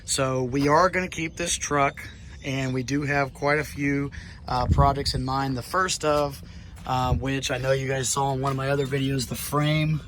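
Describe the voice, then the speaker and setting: Male car vlogger, hoarse, bright tone, rambling delivery, faint background hiss. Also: mid-range voice, little pitch movement, moderate pace. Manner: rambling, many ums. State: hoarse from laryngitis. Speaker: car channel host. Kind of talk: casual walkaround vlog. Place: outdoors beside a pickup truck.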